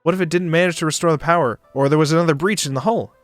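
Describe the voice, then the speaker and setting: A man doing a dramatic narration of a visual novel for a stream, expressive, sometimes stumbling and joking. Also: on the high side, rapid-fire, no room sound. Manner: expressive, stumbles and rereads lines. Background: music behind the voice.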